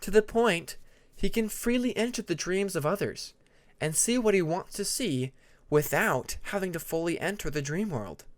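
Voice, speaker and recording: A man voicing a patient, earnest young female dragon who explains her magic in a measured way in an audiobook. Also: sits high, lively intonation, voice pushed up. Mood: explaining.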